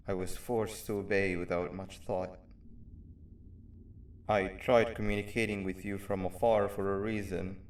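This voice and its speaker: A man playing a friendly, easygoing monster ally in a video game, talking plainly and sincerely. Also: sits low. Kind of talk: video game character dialogue. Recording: roomy, distant, faint music behind.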